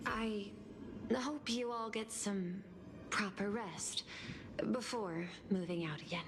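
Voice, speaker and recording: A woman voicing a composed, formal female video game character in a measured, polite manner. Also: medium pitch, natural voice. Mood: gentle concern. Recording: loud game music behind the voice.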